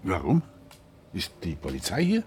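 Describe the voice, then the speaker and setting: An old retired inspector in a radio drama. His voice is low and moves widely in pitch, dropping and lifting as he probes. He is laconic, dry and unflappable. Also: wildly swooping pitch, moderate pace, a little mellow, a little hiss or hum. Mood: dry, unruffled. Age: older. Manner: laconic, dryly probing. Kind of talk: German radio drama acting.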